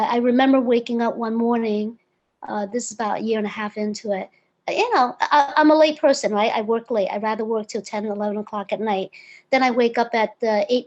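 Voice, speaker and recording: A woman's voice: a little high, steady tone, fairly quick, darkish tone. A woman in her fifties, born in Hong Kong and raised in the United States, eagerly telling her story as an interview guest. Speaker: guest, real estate broker. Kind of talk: conversational interview.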